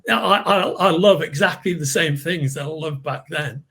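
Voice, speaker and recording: A man in his fifties from with a slightly raspy voice, a podcast guest who rambles and repeats words while he recalls. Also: a little high, normal speed, fairly bright tone. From Liverpool, England. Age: 50s. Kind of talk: casual podcast chat.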